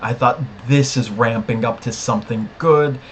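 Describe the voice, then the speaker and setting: A man's voice: medium pitch, moderate pace. A man co-hosting a podcast, giving opinionated, emphatic fan commentary in a casual review chat. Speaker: podcast co-host. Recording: clear background noise.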